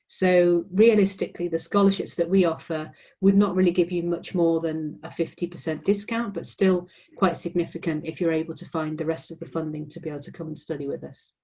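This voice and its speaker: A woman's voice: lowish voice, steady tone, a little fast, warm tone, poor audio. A woman with an English accent, a university admissions representative giving an informational presentation with frequent ums and restarts. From England.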